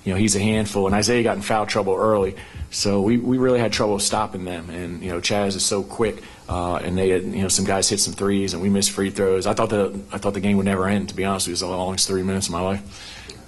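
Fast-talking middle-aged male coach. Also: deep voice, flat delivery, breathy edge, poor audio. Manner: rapid, with frequent "you know" fillers and restarts. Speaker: basketball head coach. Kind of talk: post-game press conference answers. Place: press conference room at a sports arena. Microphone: table microphone on a stand.

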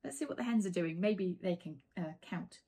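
A woman's voice: on the low side, lively intonation, normal speed, mellow, slightly rough. A woman in her forties reading a children's storybook aloud, playfully engaging listeners with questions. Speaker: children's author reading her own book. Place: small indoor room lined with bookshelves.

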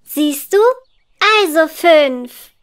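A woman voicing a cheerful, helpful little girl in a children's educational cartoon, with clear, simple, instructive phrasing. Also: sits high, extremely slow, a bit bright, exaggerated voice. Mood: friendly, helpful.

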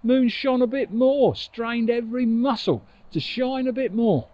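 A middle-aged man telling a children's story expressively, with dramatic pauses, recorded outdoors on a lapel mic. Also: very high voice, lo-fi sound. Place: outdoors on an open riverbank. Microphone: lapel mic with furry windshield.

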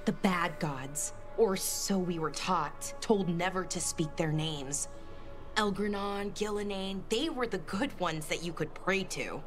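An actress playing an earnest young elven scholar in a video game, thoughtful and a little hesitant. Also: female voice, on the low side, a little slow, soft background music, natural voice. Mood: uneasy, thoughtful. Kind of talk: video game character dialogue.

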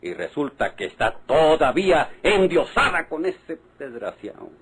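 A man's voice: a little high, sing-song, measured pace, fairly bright tone, breathy edge, exaggerated voice. An actor playing a hot-tempered, impatient Mexican father and husband in a melodramatic old radio serial. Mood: exasperated. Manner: exasperated, melodramatic. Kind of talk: golden-age radio drama acting. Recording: noisy old radio recording.

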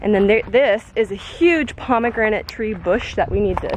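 Excited young woman vlogging to camera. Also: medium pitch, normal speed, a little hiss or hum. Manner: excited, gushing, talks to camera. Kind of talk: casual house tour vlog. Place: outdoors in a backyard and inside an empty house. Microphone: handheld camera at arm's length.

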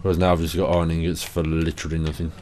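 A young man commentating casually over his game. He is tired and a little hoarse from a cough, chatty and sweary. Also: very deep voice, flat delivery, rapid-fire, background noise. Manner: sweary, chatty, self-deprecating. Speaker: gaming channel host.

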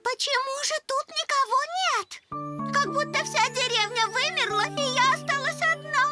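Cute, self-absorbed young female animal for a kids' cartoon, extremely high and sweet, over music. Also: moderate pace. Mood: puzzled, worried. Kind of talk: children's cartoon dub.

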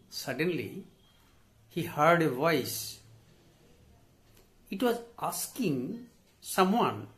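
An older man with an Indian English accent takes his time over a children's lesson text. His voice sits fairly high for a man and rises and falls freely. He phrases everything in short, deliberate pieces, as a teacher reading to students would. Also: fairly bright tone. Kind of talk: story read aloud and summarised for students. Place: small indoor study with bookshelves.

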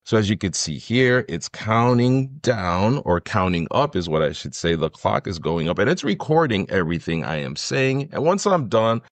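Animated low-voiced man presenting a tutorial. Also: normal speed, hardly any pauses, no echo. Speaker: host of a software tutorial. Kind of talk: enthusiastic scripted-sounding screen tutorial.

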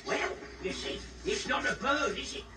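A doddery old English caveman grandpa, cheerful and chuckling, his pitch swooping about. It is broad TV comedy, echoey from a distant television. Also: lively intonation, moderate pace, over loud music. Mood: curious, rambling. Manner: chuckling, rambling. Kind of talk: broad TV comedy sketch acting. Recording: echoey television playback picked up from afar.

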